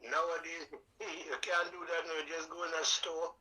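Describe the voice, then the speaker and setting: Bright high-voiced old Trinidadian man. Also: flat delivery, moderate pace. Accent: Trinidad and Tobago. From Tobago. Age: older. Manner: rambling, devout, folksy dialect grammar. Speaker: guest, veteran and calypso singer. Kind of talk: casual interview storytelling.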